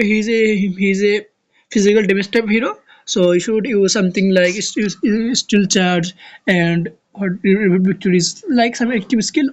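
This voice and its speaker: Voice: medium pitch, lo-fi sound. A speaker from Bangladesh, a native Bengali speaker with a Bangladeshi accent, explaining a strategy game in a casual tutorial. They often restart words and search for terms. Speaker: game guide creator.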